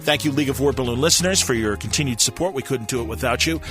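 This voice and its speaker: A man's voice: mid-range voice, fast talker, fairly bright tone, faint music behind. A man hosting a podcast in a casual, enthusiastic and appreciative way. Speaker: podcast host. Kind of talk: casual interview and show sign-off.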